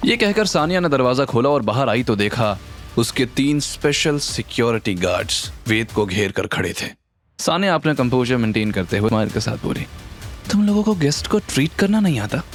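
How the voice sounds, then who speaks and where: A man's voice: medium pitch, creaky, fairly bright tone. A man narrating a scripted audio story in a dramatic style.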